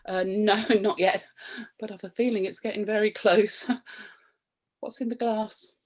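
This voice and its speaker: A woman's voice: mid-range voice, steady tone, a little slow, background noise, natural voice. An actress with a British accent playing a prim, flustered married woman, coy and curious, in a radio comedy. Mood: flustered, giggly. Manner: coy, flustered, innuendo-laden delivery with nervous chuckles. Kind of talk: radio play comedy acting, confessional monologue and banter.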